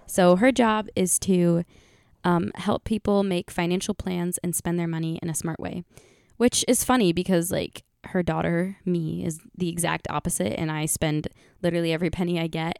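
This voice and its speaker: A young woman chats to her viewers in a scratchy, gravelly voice, her throat worn out from singing. She rambles with fillers and laughs, recorded close and dry. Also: on the low side, darkish tone, dry recording. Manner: giggly, rambling with many fillers. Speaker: vlogger. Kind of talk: casual chat to viewers.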